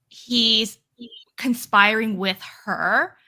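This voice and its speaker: A woman's voice: fairly high pitch, extremely slow, sharp tone, rough recording. A woman in a casual book chat on a video call, speaking expressively and slangily and acting out imagined quotes. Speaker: book discussion panelist. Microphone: online group video call.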